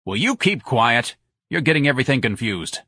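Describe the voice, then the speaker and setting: Audiobook role: man playing irritated businessman husband, blunt, medium pitch, close dry sound. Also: very animated, normal speed, bright, forceful tone, breathy edge, dry recording, exaggerated voice. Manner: impatient, blunt. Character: exasperated, gruff businessman husband. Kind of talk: audiobook character voice.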